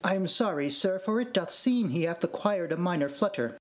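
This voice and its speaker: A man's voice: high-pitched, expressive, brisk. A man playing a fussy, anxious robot servant in formal, theatrical archaic phrasing, in an amateur Shakespearean reading. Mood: flustered, scolding.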